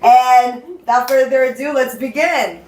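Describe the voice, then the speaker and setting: A woman's voice: high voice, unhurried. A young woman giving an informal introduction at a club event as its organizer. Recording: roomy echo, faint background noise.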